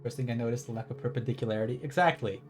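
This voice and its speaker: A man's voice: medium pitch, rapid-fire, soft background music. A man giving casual, rambling game commentary as a livestreamer, with a playful, wry manner.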